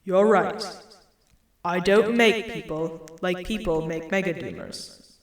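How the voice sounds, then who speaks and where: A woman voicing a calm, almighty disembodied male creator in a story, solemn, measured and patient, with an echo effect. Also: on the low side. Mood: assured, explaining. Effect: reverberant echo on the voice. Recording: echoing reverberant sound.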